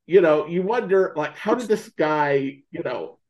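A man in a casual interview as a podcast guest, talking haltingly and searching for his words. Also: on the high side, lively intonation, lo-fi sound. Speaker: podcast guest, old-time radio podcaster.

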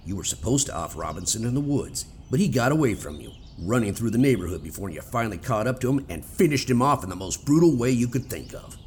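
A man's voice: mid-range voice, very animated, fast, hardly any pauses, darkish tone, a little hiss or hum, close to an everyday voice. A man playing a stern, accusing police detective in a radio theater drama.

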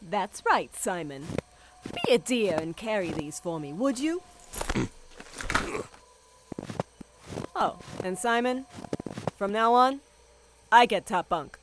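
An actress playing a menacing, scornful gang leader and scientist in an audio drama, sardonic, taunting and commanding. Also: female voice, medium pitch, big pitch swings, measured pace, pauses often, noisy background. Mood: smug, bossy.

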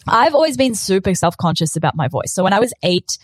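A woman's voice: medium pitch, very animated, fast, slightly rough, dry recording. Chatty New Zealand woman, dry close sound. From South Africa, raised in New Zealand. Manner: upbeat, chatty, self-assured. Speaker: podcast host. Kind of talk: casual solo podcast talk. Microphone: studio podcast microphone.

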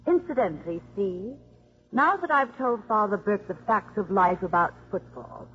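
An actress playing a spiteful, haughty, sarcastic ex-wife in a 1950s radio drama. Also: female voice, medium pitch, animated, normal speed, breathy edge. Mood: scornful. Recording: dull old radio recording.

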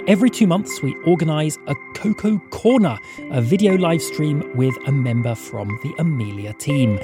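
A woman reading scripted podcast credits and an appeal to listeners as the show's announcer. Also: sits low. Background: music under the voice.

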